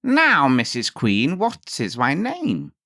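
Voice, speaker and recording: A man voicing a comical, gloating, quick-tempered little goblin man in a storybook tale, with theatrical, taunting delivery. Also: medium pitch, wildly swooping pitch, pushed and bright, dry recording, studio quality, voice pushed up, full character voice. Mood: gleeful gloating. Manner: theatrical, exclaiming, drawn-out taunts.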